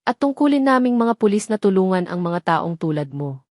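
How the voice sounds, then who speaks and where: A woman voicing a serious, protective male police officer with a firm, measured manner in an audio drama. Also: mid-range voice, very animated, a little mellow, no echo, studio quality, close to an everyday voice. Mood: gentle, encouraging.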